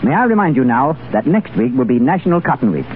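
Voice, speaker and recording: A man's voice: medium pitch, sing-song, fast talker, narrow, tinny audio, slight background noise. A man working as a radio announcer, reading scripted credits and notices in 1930s broadcast style.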